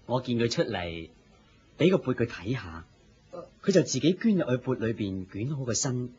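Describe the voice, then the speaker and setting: An actor playing a serene, kindly holy teacher in a Cantonese radio drama, calm and reassuring, with a Hong Kong accent. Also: male voice, mid-range voice, moderate pace, slightly rough. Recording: dull, noisy radio drama recording.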